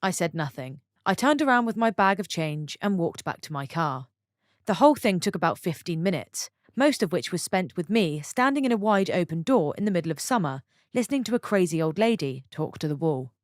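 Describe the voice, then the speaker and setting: A woman with a low, lively voice narrates stories for her channel. She breaks off for chatty, expressive asides to her viewers. The sound is close and dry. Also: brisk, dry recording. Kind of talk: reading online stories aloud with own comments.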